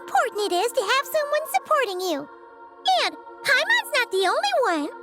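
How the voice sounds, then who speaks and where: A woman voicing a chirpy, cheeky little fairy girl in a video game, squeaky and excitable. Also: moderate pace, loud music behind the voice. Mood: boastful. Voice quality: squeaky, childlike. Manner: speaks of herself in third person, excitable. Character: tiny floating childlike fairy girl companion, chirpy and cheeky.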